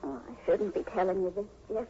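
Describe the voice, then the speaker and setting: A woman's voice: mid-range voice, brisk, vocal fry, warm tone, close to an everyday voice. An actress playing an anxious seventeen-year-old farm girl in a 1940s radio drama, pleading and hesitant. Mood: hesitant, fearful. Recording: muffled, noisy 1940s radio recording.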